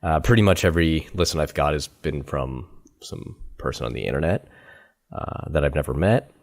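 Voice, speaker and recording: A man hosting a podcast interview, relaxed and self-deprecating, hesitating with fillers. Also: extremely low pitch, normal speed. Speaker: podcast host. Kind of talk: casual interview chat.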